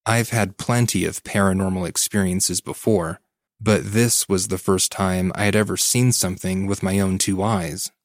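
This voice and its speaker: Brief: deep male narrator, measured scripted reading. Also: dry recording, clean studio sound. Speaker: story narrator. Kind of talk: scripted narration of a listener's story.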